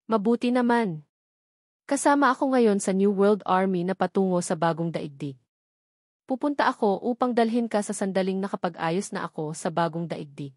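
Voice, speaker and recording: A woman's voice: medium pitch, normal speed, darkish tone, dry recording, studio quality, close to an everyday voice. A woman reading the audiobook part of a boastful husband who sweet-talks his wife in formal phrases. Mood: boastful, affectionate. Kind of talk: audiobook dialogue.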